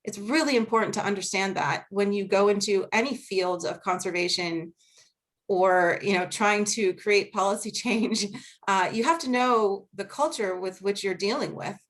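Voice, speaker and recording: A woman with a Boston accent speaking as a guest researcher on a video-call panel, earnest and measured, laughing easily during questions. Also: mid-range voice. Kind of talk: academic panel talk and Q&A.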